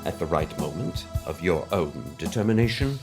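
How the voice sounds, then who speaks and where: A man's voice: low-pitched, big pitch swings, normal speed, over loud music, pitched below the performer's own voice. A man playing a pompous, mysterious conspiracy theorist in a formal, verbose, pedantic scripted monologue. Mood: pompous, earnest. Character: pompous mysterious male conspiracy-theorist scientist on a cassette letter.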